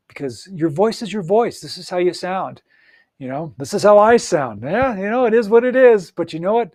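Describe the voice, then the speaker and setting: A man's voice: fairly high pitch, a little mellow, no echo. Upbeat man coaching, dry close sound. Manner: upbeat, encouraging, speaks straight to the listener; frequent fillers and restarts. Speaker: audiobook coach. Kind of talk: motivational solo talk.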